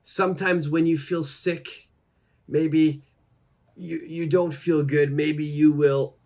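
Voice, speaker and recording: A man in his thirties teaching English to camera, seated in a plain room, saying each phrase slowly and then repeating it. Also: fairly high pitch, flat delivery, darkish tone. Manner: slow and deliberate, repeating each phrase twice. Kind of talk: slow, clear English lesson to camera. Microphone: camera framed at chest height, seated.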